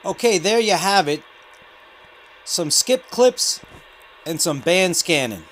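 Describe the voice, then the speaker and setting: A man's voice: sits high, lively intonation, takes it slowly, fairly bright tone. Man demonstrating radio, heavy static behind. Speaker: CB radio hobbyist host. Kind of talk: casual hobby radio demo commentary. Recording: noisy room with radio static. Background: CB radio static and chatter.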